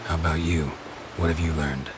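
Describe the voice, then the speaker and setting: An actor playing a gruff, stoic middle-aged male monster hunter in a video game cutscene, speaking in short, low-key lines. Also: steady tone, moderate pace, noisy background. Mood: terse. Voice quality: very deep, low-key, terse. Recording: score playing underneath.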